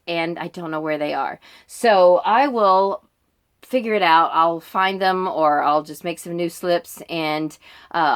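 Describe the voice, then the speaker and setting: A woman chatting informally in a book vlog, meandering through her reading list and often interrupting herself. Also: on the low side. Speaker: book vlogger.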